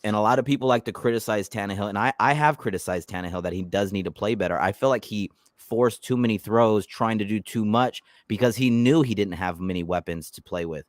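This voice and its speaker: A man hosting a casual live stream about his football team, talking in animated bursts and often repeating words as he restarts. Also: lowish voice, a little fast, few pauses, dry recording, clean studio sound. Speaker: YouTube channel host.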